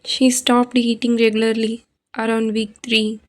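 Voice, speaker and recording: A woman playing a solemn, ominous storyteller, narrating scripted horror in a deliberate, flat way. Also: fairly high pitch, natural voice.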